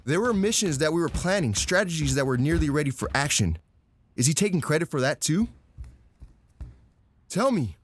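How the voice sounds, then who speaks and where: A man's voice: on the high side, very animated, normal speed, long pauses, a little hiss or hum. A man acting in an audio drama as a wry, pointed former comrade, intimate and resentful. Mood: indignant, pressing.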